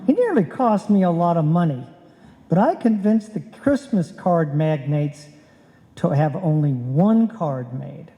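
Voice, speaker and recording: An actor playing a wry, exasperated, penny-pinching husband in a live old-time radio comedy. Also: male voice, fairly high pitch, lively intonation, unhurried. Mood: wry narration. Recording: dull, echoey hall stage recording.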